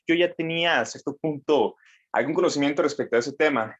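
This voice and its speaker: A man asks a question on a video call, sounding tentative as he searches for his words. His voice sits at an ordinary middle pitch, and the audio is dull and lo-fi. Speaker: audience member.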